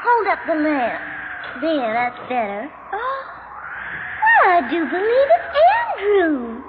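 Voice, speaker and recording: Very high, girlish, petulant widow. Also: huge pitch swings, slow pace, phone-quality sound, full character voice. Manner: melodramatic, petulant, quaint country phrasing. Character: flighty, girlish widowed daughter, petulant and macabre. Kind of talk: 1960s radio drama acting. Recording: noisy, band-limited 1960s radio recording.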